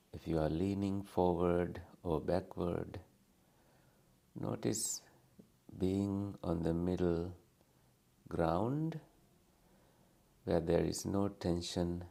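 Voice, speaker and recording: A man leading a guided meditation as a teacher, speaking gently and soothingly in short phrases with long pauses between them. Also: low voice, vocal fry.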